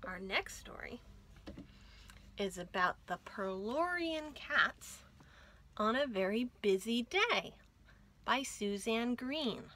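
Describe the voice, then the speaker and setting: A woman hosting storytime for young children, reading aloud in an animated, expressive way and speaking to them directly. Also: a little high, slow pace, long pauses. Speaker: children's library storytime host.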